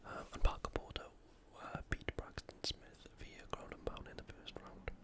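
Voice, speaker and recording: An ASMR host speaking in a breathy, unvoiced whisper, giving an informal and slightly hesitant analytical sports preview. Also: normal speed.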